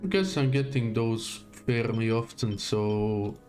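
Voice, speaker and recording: A man's voice: on the low side, slow pace, darkish tone, soft background music. A man giving casual live gameplay commentary, muttering to himself in short fragments. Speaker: game livestreamer.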